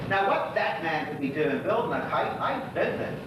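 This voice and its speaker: A man acting an echoey, high-voiced old Bermudian gossip. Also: very animated, normal speed. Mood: gossipy, chatty. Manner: folksy, rambling storytelling. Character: lonely, gossipy old Bermudian widower, stiff and reminiscing. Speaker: actor in a one-act monologue. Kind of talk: stage monologue told as neighbourly chat. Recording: echoey hall from far off, noisy, rumbling.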